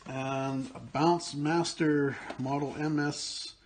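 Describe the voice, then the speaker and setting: A man's voice: on the high side, steady tone, slow, slight background noise. A man casually showing off his auction finds, thinking aloud with fillers and musing as he goes. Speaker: picker and vlogger. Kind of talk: casual unboxing commentary. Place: indoors at a table, off camera.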